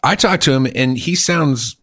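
A man's voice: medium pitch, moderate pace, dry recording, clean studio sound. A man chatting casually as a podcast co-host, rambling and acting out other people's voices. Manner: animated, rambling, acts out voices. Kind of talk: casual chat.

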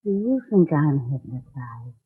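Coy, husky radio sweetheart. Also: female voice, low voice, sing-song, slow pace, heavily acted. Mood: coy, playful. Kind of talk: 1940s radio drama acting. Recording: tinny, band-limited 1940s radio recording.

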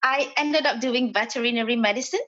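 A woman's voice: a little high, brisk, a bit bright, highs cut off. A woman from Malaysia, a property developer, speaking as a podcast guest, hesitant with many fillers and restarts.

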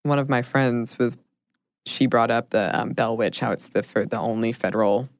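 A woman's voice: rumbling low, steady tone, normal speed. An American woman chatting casually as a podcast host. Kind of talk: casual co-hosted podcast chat.

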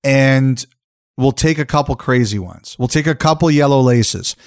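A man co-hosting a podcast, relaxed and a little tipsy, with easy laughter in his talk. His voice is medium in pitch. The sound is close and dry. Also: normal speed, dry recording. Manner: relaxed, loose and laughing after a few drinks. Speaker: podcast co-host. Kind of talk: casual chat.

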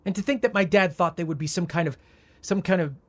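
A man's voice: high-pitched, fast. An actor in a naturalistic audio drama playing an agitated, wounded heir in his thirties who stammers with indignation. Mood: agitated, hurt. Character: agitated adult heir of a rich family, volatile and wounded.

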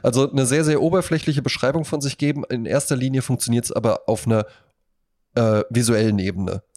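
A man's voice: medium pitch, fairly quick, a little mellow. A man chatting casually as a podcast co-host, joking and acting out little quotes as he explains things. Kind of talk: casual conversational chat.

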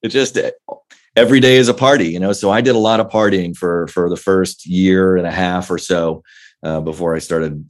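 A man in his forties with a bass voice, a guest actor chatting in a casual interview, rambling with many fillers and restarts. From Northern Virginia.

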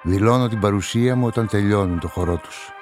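An actor playing a brooding, lovelorn narrator of a radio crime story, measured and reflective. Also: male voice, lowish voice, darkish tone, faint music behind, close to an everyday voice. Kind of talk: scripted first-person radio drama narration.

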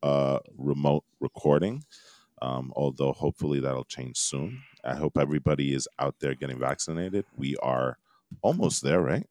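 A man's voice: rumbling low, normal speed, darkish tone, no echo. A man in his thirties hosting a geeky podcast, rambling casually with lots of fillers. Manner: laid-back, rambling with fillers. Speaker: podcast host. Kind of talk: casual chat. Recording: dry remote recording.

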